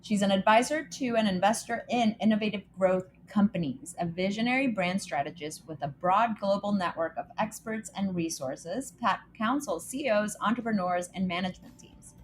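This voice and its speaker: A woman's voice: medium pitch, moderate pace, hardly any pauses, rough recording. A chatty woman co-hosts a podcast in a casual, upbeat way, her voice sitting in a middle range. She comes from El Salvador and reads short news items aloud, reacting as she goes. Faint noise hums under the recording. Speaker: podcast co-host.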